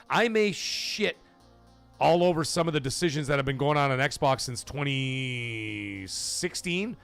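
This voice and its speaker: A man hosting a gaming livestream, reading super chats aloud and giving emphatic, profane opinions, swinging loud for donation shout-outs. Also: fairly high pitch, gravelly. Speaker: livestream host.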